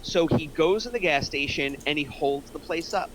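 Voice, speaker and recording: A man's voice: a little high, normal speed, background noise. A man giving casual, explanatory feedback in a group discussion, using examples as he goes. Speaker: script feedback panelist. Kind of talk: casual group critique of a screenplay.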